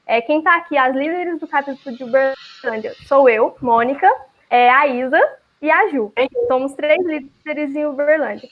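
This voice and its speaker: A woman's voice: high-pitched, moderate pace. A Brazilian woman hosting a live online event, chatty, with many fillers and restarts. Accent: Brazilian Portuguese. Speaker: event host, chapter leader. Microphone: video call. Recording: lo-fi online call audio.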